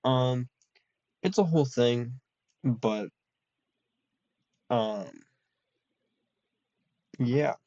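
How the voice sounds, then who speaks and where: A man's voice: mid-range voice, drawn-out words, long pauses, a little mellow, no echo. A young man in a college dorm room, rambling in a casual solo chat with a slightly nasal voice and lots of ums. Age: young adult. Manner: rambling, full of ums and restarts. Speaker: vlogger. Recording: dull lo-fi dry recording.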